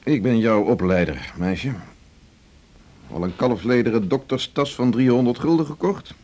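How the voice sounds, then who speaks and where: An actor in a 1980s Dutch radio drama playing a blunt, teasing middle-aged senior doctor, brusque and bossy. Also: male voice, mid-range voice, expressive, moderate pace, darkish tone, muffled recording, close to an everyday voice. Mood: teasing, testing.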